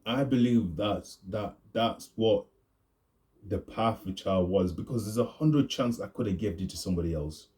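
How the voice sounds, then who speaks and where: A young man, talking in a rambling, casual vlog monologue and deliberately pushing his voice deeper. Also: darkish tone. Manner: deliberately deepened, laid-back voice. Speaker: vlogger.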